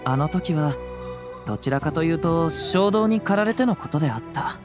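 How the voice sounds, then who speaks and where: A man plays a young wandering samurai from an anime game. He is composed and humble, speaking in old-fashioned courteous phrases at a fairly high male pitch. Music sits clearly beneath the voice. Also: moderate pace, lightly acted. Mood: thoughtful, humble. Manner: archaic samurai speech, calm and courteous. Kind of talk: Japanese anime game dialogue. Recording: music under the voice.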